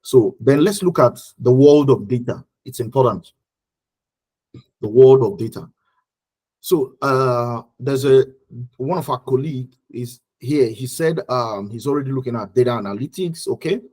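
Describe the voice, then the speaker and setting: A man with a Nigerian accent and a husky voice teaching an online class informally, repeating phrases for emphasis and checking in with listeners. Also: mid-range voice, moderate pace, dark tone. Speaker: teacher leading an online study group. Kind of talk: informal live lecture with check-in questions.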